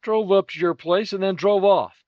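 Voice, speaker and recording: Man playing a higher-voiced wry police lieutenant. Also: normal speed, a little mellow, no room sound, muffled recording, natural voice. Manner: dry, casual, tough. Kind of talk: 1950s radio crime drama acting.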